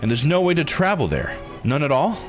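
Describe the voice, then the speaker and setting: A young, uncertain immortal, acted out by a man in a visual novel, asks hesitant and troubled questions. The pitch is varied and music sits behind the voice. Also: sing-song, brisk. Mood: dejected.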